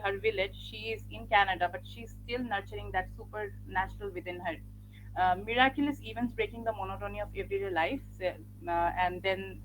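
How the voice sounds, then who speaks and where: A woman's voice: mid-range voice, slight background noise, poor audio. A woman finishing an academic literature presentation, speaking reflectively with frequent "uh" fillers. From Bangladesh. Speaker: graduate student presenter.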